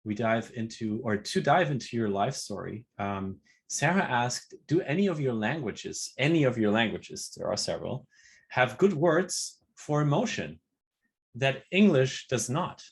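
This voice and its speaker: A man hosting an online interview, asking questions in a halting way with fillers and restarts. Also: mid-range voice, big pitch swings, creaky, slightly rough. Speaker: host. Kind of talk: interview host.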